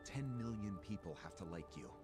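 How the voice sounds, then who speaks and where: A man playing a calculating, cynical political operative in a video game, measured and smug as he explains. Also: on the low side, expressive, lightly acted. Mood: smug, explaining. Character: calculating, cynical male political operative recounting a grand scheme. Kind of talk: English video game voice acting. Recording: game audio with music behind. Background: game music.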